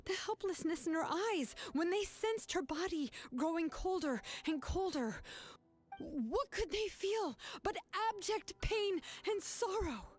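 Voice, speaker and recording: A woman voicing a calm, firm young woman who confronts a grieving aunt in earnest, measured speech. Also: very high voice, faint music behind.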